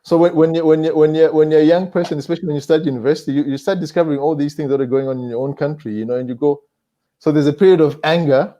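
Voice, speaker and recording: A man from Zimbabwe with a Zimbabwean accent speaks in a soft-edged, mellow voice. He is measured and halting as a panelist, repeating phrases for emphasis. The recording is dull and lo-fi. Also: fairly high pitch, fairly even intonation. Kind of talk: reflective panel discussion.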